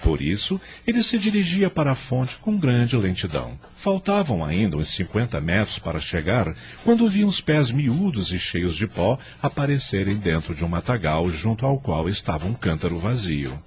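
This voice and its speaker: A man narrating a scripted radio story in a measured, expressive, dramatic manner. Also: on the low side, a little hiss or hum.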